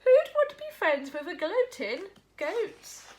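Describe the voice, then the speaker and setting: A woman's voice: very high-pitched, big pitch swings, unhurried, darkish tone, voice pushed up, full character voice. A woman voicing teasing townspeople in a storybook, calling out a taunt. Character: crowd of teasing townspeople below a tower.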